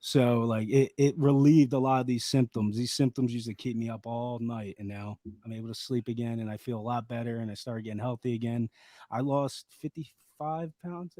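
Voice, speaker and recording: A man with a slightly raspy voice, a podcast guest rambling through a personal story in casual chat with lots of fillers. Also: medium pitch, fairly even intonation, normal speed, dark tone, no room sound. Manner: rambling, laid-back storytelling with many fillers.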